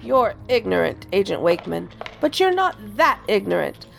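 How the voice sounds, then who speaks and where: A woman's voice: mid-range voice, very animated, moderate pace, creaky, soft background music. A woman playing a stern, cold, commanding deputy director in a science fiction audio drama. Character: stern, imperious female deputy director of a federal agency.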